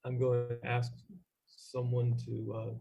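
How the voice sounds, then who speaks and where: A man chairing a formal meeting as its chairman, speaking in a halting, procedural way. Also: medium pitch, monotone, vocal fry, warm tone. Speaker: commission chairman. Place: remote meeting call.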